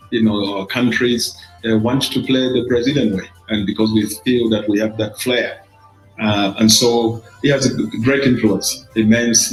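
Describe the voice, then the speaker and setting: A man from Zambia, a former football captain, answering a TV interview in a halting way with many pauses and restarts. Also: on the low side, echoey room, rough recording.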